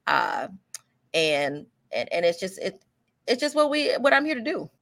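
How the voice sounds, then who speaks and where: A woman's voice: mid-range voice, sing-song, unhurried, vocal fry, fairly bright tone, no room sound. A woman hosting a radio talk show, appreciative toward her guest, often stumbling and repeating words.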